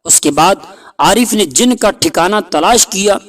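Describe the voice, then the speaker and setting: A man narrating a scripted folk tale in a deliberate, dramatic storytelling manner. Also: high-pitched, animated, fairly bright tone.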